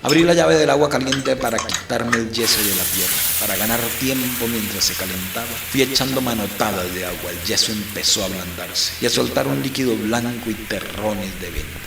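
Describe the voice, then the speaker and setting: A man playing an embattled, defiant priest who recounts his own story in a deliberate, literary audio drama narration. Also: lowish voice, gravelly, reverberant, background noise. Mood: tense, calculating. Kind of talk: dramatic first-person scripted narration.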